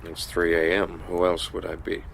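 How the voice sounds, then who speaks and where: An actor playing a weary, menacing secret agent in a radio drama, terse and controlled. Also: male voice, deep voice, completely flat delivery, normal speed, gravelly, a bit bright, a little hiss or hum. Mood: cool, unimpressed.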